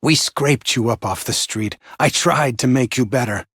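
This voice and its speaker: Husky, contemptuous game villain overseer. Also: male voice, medium pitch, normal speed, fairly bright tone, no echo, clean studio sound. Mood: weary contempt. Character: contemptuous, bitterly disappointed villainous male overseer. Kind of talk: scripted video game character acting.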